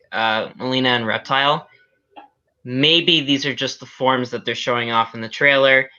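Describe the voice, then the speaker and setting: A man's voice: medium pitch, bright, forceful tone. A man chatting casually as a guest on a fan podcast, over a lo-fi connection.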